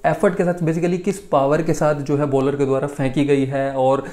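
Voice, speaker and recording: A man's voice: fairly high pitch, level tone, moderate pace, warm tone. A man in his twenties from India with an Indian accent, hosting a casual, emphatic cricket news rundown in a conversational way, recorded in a small room at home. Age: 20s. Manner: conversational, emphatic, repeats key points for effect.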